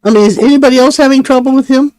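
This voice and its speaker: A woman co-hosting a live psychic radio show, talking casually, jumping in often with quick remarks, murmured agreement and light laughs. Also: high voice, a little mellow, dry recording. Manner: chatty, jumps in, frequent mm-hmms and light laughs. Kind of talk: casual chat. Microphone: live-stream studio mic.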